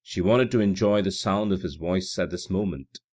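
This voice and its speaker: A man's voice: sits low, normal speed, darkish tone. A man reading literary fiction aloud as scripted audiobook narration. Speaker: audiobook reader.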